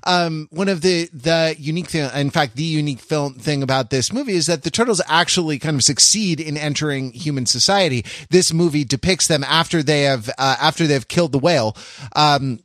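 A man hosting a podcast in a casual, joking style, with a halting, wry and wordy way of talking. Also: fairly high pitch, a bit bright, no room sound, clean studio sound. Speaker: podcast host.

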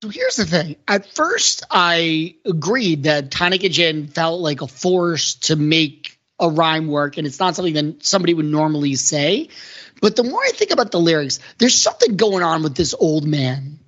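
A man's voice: on the high side, very animated, normal speed, a bit bright. A man speaking as a podcast panelist, giving conversational analysis in an earnest, explanatory manner. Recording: dull, lo-fi audio.

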